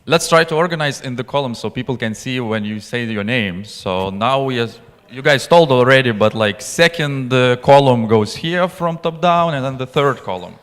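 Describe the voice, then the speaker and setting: A man's voice: mid-range voice, animated, normal speed. Male event host in an echoing hall. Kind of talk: off-the-cuff stage announcement. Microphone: handheld microphone. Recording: reverberant hall.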